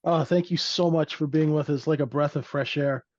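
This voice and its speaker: A man's voice: a little high, steady tone, moderate pace, a little mellow, no room sound. A man co-hosting a podcast in casual chat, on a dull lo-fi microphone. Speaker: podcast co-host.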